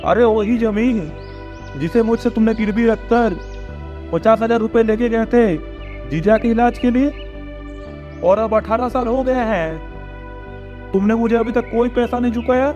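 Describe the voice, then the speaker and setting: Casting: greedy, aggrieved cartoon uncle played by a man, high-pitched schemer, muffled recording over music. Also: steady tone, a little slow, pauses often, a little mellow. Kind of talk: cartoon moral story dialogue. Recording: dull lo-fi audio with music behind.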